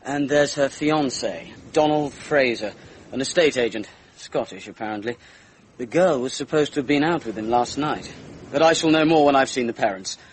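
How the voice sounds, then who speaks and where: An actor playing a British police inspector in a radio drama, matter-of-fact and slightly patronising. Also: male voice, mid-range voice, expressive, normal speed, slight background noise, close to an everyday voice, low-quality recording. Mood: businesslike. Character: brisk, condescending, modern-minded male police inspector.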